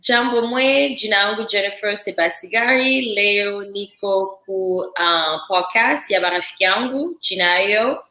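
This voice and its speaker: A woman from Africa, a guest chef telling stories in a lively, laughing casual chat. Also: mid-range voice, unhurried, hardly any pauses, reverberant, low-quality recording. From Central Africa. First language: French. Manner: lively storytelling with frequent laughter.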